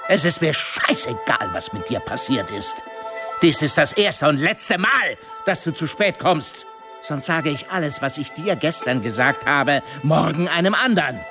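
A man's voice: fairly high pitch, expressive, moderate pace, over music, close to an everyday voice. A man playing a stern, threatening workplace boss in a radio drama, curt and commanding.

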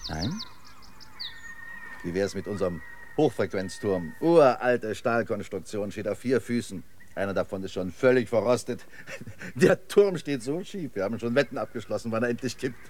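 An actor playing a chatty, eccentric local guide in a decayed city, rambling and effusively hospitable in a radio drama. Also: male voice, lowish voice, lively intonation, moderate pace, darkish tone, natural voice. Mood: boastful, amused. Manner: effusive, rambling, quirky hospitality, chuckles to himself. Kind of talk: German radio drama acting.

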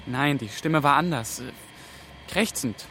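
An actor playing a nervous young intern on the run, tense and doubting. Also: male voice, medium pitch, measured pace, sharp tone, a little hiss or hum. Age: young adult.

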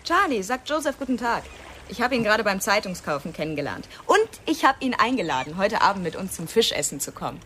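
A woman's voice: medium pitch, sing-song, brisk, fairly bright tone. Sociable young woman, playful, proud, teasing, mid-range voice, radio drama, slight background noise. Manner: bubbly, teasing. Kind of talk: German radio drama acting.

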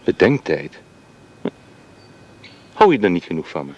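An ardent suitor in a Dutch radio play, speaking low and earnestly as he pleads. A faint ambient hiss sits under his voice. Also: very animated, a little slow, muffled recording. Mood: pleading. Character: Jaap, restless ardent suitor.

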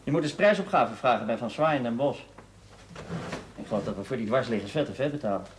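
An actor playing a brisk, possessive boss in a naturalistic television drama, with a direct, businesslike way of talking. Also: male voice, mid-range voice, expressive, noisy background, close to an everyday voice.

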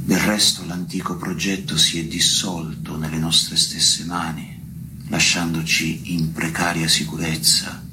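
A man with a very low voice reads poetry gravely and evenly, barely varying his pitch. He takes his time, pausing between phrases, over clearly audible background noise. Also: level tone. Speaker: poetry reader at a tribute recital. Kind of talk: slow poetry recitation.

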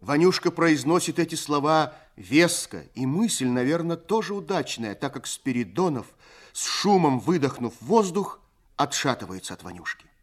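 A man narrating a literary story for a radio play in a measured, expressive storytelling manner. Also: a little high.

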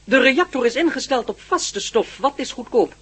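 A man's voice: extremely high pitch, lively intonation, a bit bright, husky, full character voice. Een man die in een hoorspel een kalme, superintelligente buitenaardse assistent speelt, precies en zakelijk. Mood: matter-of-fact, superior. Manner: precise, matter-of-fact, unhurried. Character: calm, superintelligent male alien posing as an assistant. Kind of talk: Dutch radio play acting.

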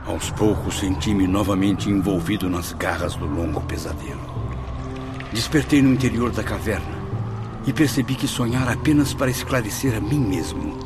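A man's voice: lowish voice, lively intonation, normal speed, music in the background, close to an everyday voice. An actor playing a sombre spirit who recounts his torment as first-person narrator of a solemn radio drama.

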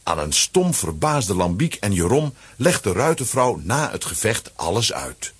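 Husky guy narrating a kids adventure story, super expressive, voice swoops all over. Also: on the low side, unhurried. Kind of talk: dramatic scripted storytelling for children's audio play.

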